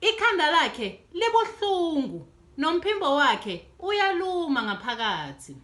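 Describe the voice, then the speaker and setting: A woman reading a children's storybook aloud in an animated way as host. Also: high-pitched, unhurried. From South Africa. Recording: echoey room.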